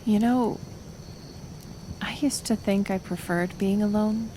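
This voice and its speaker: A woman playing a kind, stubborn, lonely half-elf healer in an intimate ASMR roleplay, speaking softly and hesitantly with soothing pauses. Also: mid-range voice, slight background noise, lightly acted, low-quality recording. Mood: vulnerable, musing.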